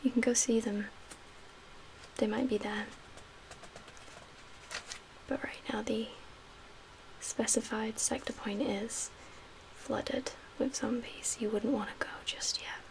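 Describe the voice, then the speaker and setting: A woman playing a calm survivor camp leader in a soft-spoken ASMR roleplay, with a breathy, whispery voice and a gentle, measured, hushed manner. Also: fairly high pitch, poor audio. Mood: calm, explaining. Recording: clear background ambient noise.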